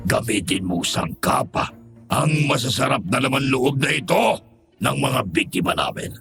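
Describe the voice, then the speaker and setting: Cruel male monster master, gravelly, swooping delivery, horror audio drama, music underneath. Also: medium pitch, sing-song, a bit bright. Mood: gloating menace. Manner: slow, menacing, mock-polite. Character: cruel, taunting male aswang lord of a mansion.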